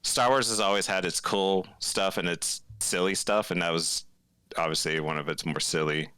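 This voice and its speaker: A man's voice: sits low, steady tone, moderate pace, bright, forceful tone, clear, resonant tone, no echo. A man in his late forties chatting casually as a podcast guest. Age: late 40s. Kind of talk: casual chat.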